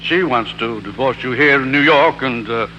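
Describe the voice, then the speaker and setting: A man's voice: medium pitch, moderate pace, bright, forceful tone, dull audio, lightly acted. An actor playing a stiff, formal older father-in-law in a 1940s radio drama. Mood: stiff, reserved. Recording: humming, noisy 1940s radio recording.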